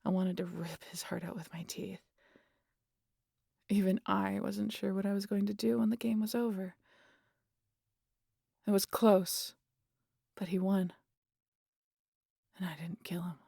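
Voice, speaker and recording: A woman playing a wry, guarded vampire who teases and confides in an intimate audio roleplay, casual and sweary, often sinking to a breathy near-whisper. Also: mid-range voice, fairly even intonation, measured pace, long pauses, mellow, no room sound, clean studio sound. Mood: nostalgic, wry. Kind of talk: intimate audio roleplay monologue addressed to the listener.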